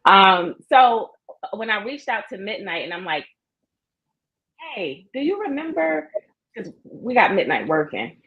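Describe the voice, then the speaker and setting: A woman hosting a casual live chat, chatty and quick to laugh. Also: medium pitch, very animated, breathy edge, muffled recording.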